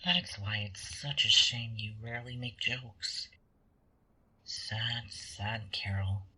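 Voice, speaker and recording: Casting: actor as manipulative angel for sci-fi audio drama; smug, unhurried, heavily breathy, taunting. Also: medium pitch, fairly even intonation, bright, forceful tone, heavily acted, poor audio. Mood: mocking. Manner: theatrical, condescending, drawn-out, deliberate delivery.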